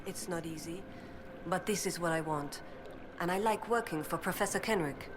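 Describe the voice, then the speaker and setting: A woman's voice: lowish voice, normal speed, background noise, close to an everyday voice. An actress playing a young female elven research assistant in a video game, earnest and determined, speaking in a precise, scholarly way. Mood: earnest, guarded. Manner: precise, scholarly, thoughtful delivery.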